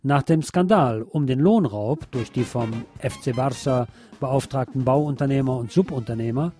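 A man's voice: mid-range voice, moderate pace, dark tone, soft background music. A man presenting radio news, reading from a script.

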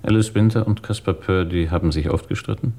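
An actor playing a weary, probing police inspector in a German radio crime drama, terse and matter-of-fact. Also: male voice, low voice, brisk, warm tone. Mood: probing, calm.

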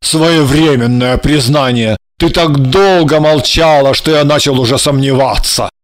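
Woman reader plays a lovestruck, exclamatory male painter, unhurried delivery, dry close read. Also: very deep voice, no echo. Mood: tender. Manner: effusive, exclamatory. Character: ardent, excitable male painter in love. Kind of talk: audiobook character reading.

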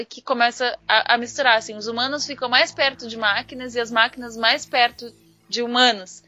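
A Brazilian woman chatting informally as a podcast panelist, explaining ideas in an animated, slangy way. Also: on the high side. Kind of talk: casual discussion of ideas. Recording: dull lo-fi online audio, music underneath.

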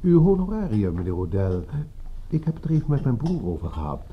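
An actor playing a smooth, commanding boss in a radio play, formal and insinuating, with veiled menace. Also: male voice, medium pitch, very animated, a little fast, natural voice. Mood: businesslike, formal. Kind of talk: radio drama dialogue. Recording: muffled, noisy radio play recording.

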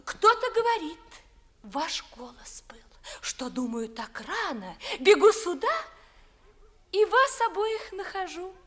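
A woman's voice: very high-pitched, big pitch swings, slow pace, fairly bright tone, exaggerated voice. An actress playing a headstrong, dreamy young noblewoman in love, declaiming verse in 1950s theatrical radio style. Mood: breathless, dreamy.

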